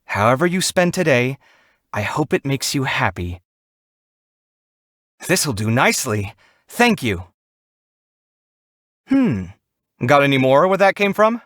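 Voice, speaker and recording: Casting: proud, earnest young architect for an anime game; articulate, dramatic, very animated pitch, dry close recording. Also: male voice, takes it slowly, pauses often, no echo. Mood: warm, awkward. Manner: articulate, expressive, theatrical. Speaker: video game character voice.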